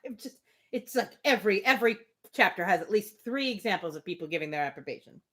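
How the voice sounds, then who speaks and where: A woman's voice: mid-range voice, animated, fast, sharp tone. A woman reading a classic novel aloud expressively, breaking off for casual, chatty asides. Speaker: live book reader.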